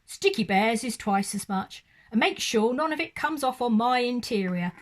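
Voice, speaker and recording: A woman voicing a gruff, grumpy male taxi driver with a bitter, growling manner. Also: fairly high pitch, animated, normal speed, natural voice. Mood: grumbling.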